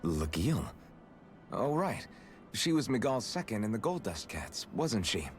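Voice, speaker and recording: A man's voice: lowish voice, big pitch swings, unhurried, a little hiss or hum. An actor playing a friendly, attentive young male adventurer in a video game, in a conversational manner. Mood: curious, friendly. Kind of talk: scripted video game dialogue. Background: game music.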